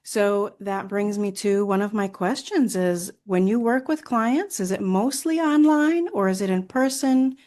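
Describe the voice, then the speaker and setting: A woman's voice: mid-range voice, a little slow, hardly any pauses, darkish tone. A woman hosting a podcast, interviewing a guest in a conversational style. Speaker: podcast host.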